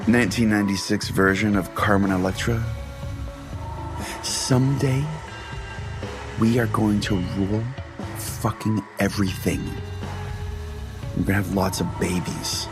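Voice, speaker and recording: Low-voiced man telling stories over music. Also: fairly even intonation, unhurried, long pauses. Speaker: podcast host and storyteller. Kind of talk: comedic scripted first-person narration. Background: music bed under the voice.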